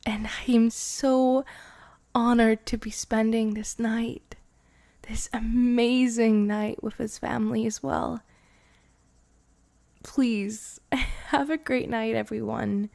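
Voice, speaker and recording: A woman voicing a devoted vampire girlfriend in an intimate ASMR roleplay, breathy and often slipping into a whisper. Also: a little high, steady tone, no room sound. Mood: tender, gracious. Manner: slow, intimate, hushed, sighing. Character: devoted, lovestruck vampire bride, tipsy and intimate.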